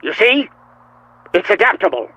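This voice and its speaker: An actor playing a nervous, placating company psychologist in a radio drama, hesitant and stammering, with a husky voice. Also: male voice, high-pitched, sing-song, moderate pace, pushed and bright, no echo, dull audio, full character voice. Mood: anxious pleading.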